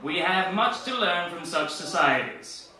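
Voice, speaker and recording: A man playing a solemn President giving a formal, measured 1940s radio fireside chat in a satirical sketch. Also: high voice, animated, crowd noise. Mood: lofty, persuasive. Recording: echoey old-radio sound, distant.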